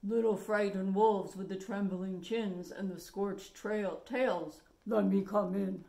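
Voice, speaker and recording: A woman's voice: medium pitch, fairly even intonation, creaky, dark tone, echoey room. Woman as menacing big bad storybook pig. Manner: drawn-out, emphatic, threatening.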